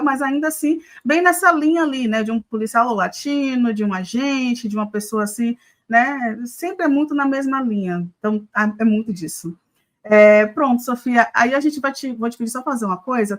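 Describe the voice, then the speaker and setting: A woman's voice: on the high side, fairly bright tone. A Brazilian woman hosting a live news commentary show, talking conversationally with many hesitations.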